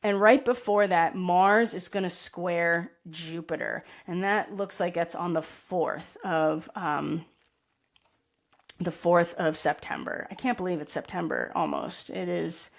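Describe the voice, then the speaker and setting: A woman with a raspy voice giving a casual astrology forecast, rambling and correcting herself with many asides and tag questions. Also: on the low side, fairly even intonation, a little slow. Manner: rambling, self-correcting, frequent "right?" and "you know". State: tired voice.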